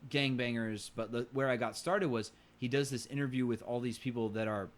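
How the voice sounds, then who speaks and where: A man talks casually as a podcast co-host, in a voice of average pitch. His delivery is halting, and he often repeats the start of a phrase before carrying on.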